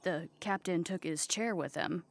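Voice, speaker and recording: A woman playing an earnest, literal-minded starship officer in a comedic sci-fi play, dutiful but quick to get defensive. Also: lowish voice, creaky, dry recording. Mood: indignant.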